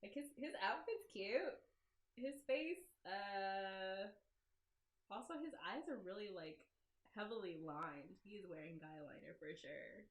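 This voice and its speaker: A woman's voice: medium pitch, slow, roomy sound. A woman giving casual, self-interrupting commentary as she plays through a game. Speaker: let's play host and game developer. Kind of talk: casual gameplay commentary, reading dialogue aloud.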